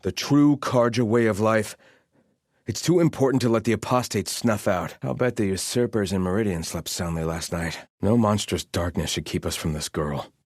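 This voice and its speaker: An actor playing a zealous, grumbling soldier loyal to a fallen king, delivering video game lines with pompous devotion and gruff scorn. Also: on the low side, moderate pace, no echo, studio quality, close to an everyday voice. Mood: solemn, scornful. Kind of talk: video game voice lines, battle barks and muttered remarks.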